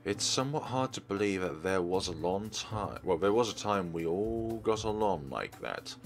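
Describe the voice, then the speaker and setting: Man reading as a regretful old man, low-voiced. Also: expressive, normal speed, faint music behind. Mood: wistful, regretful. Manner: formal, polite phrasing. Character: regretful, self-deprecating old man who died alone. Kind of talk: reading visual novel dialogue aloud.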